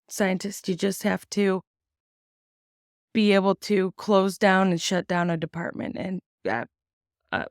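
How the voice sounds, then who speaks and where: A woman hosting a podcast, giving casual, blunt opinions on the news, swearing freely and using many ums, sounding sick and tired with a slightly raspy voice. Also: mid-range voice, no echo, clean studio sound. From United States. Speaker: podcast host.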